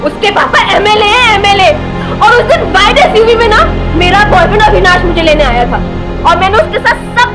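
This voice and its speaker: A woman's voice: very high voice, lively intonation, fairly quick, over music, low-quality recording. A young woman with an Indian accent acting as a bitter, distraught daughter making a defiant confession in a TV drama.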